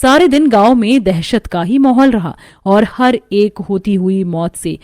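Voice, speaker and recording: A woman's voice: medium pitch, animated, unhurried, a little mellow, no echo, studio quality. A woman reading a scripted horror story as its narrator.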